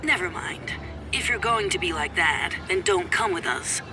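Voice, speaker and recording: A woman voicing a bored, pushy ex-boyfriend in a quiet, flat, dismissive manner for an audiobook story. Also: fairly low pitch, normal speed, music in the background, close to an everyday voice. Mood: bored, insistent.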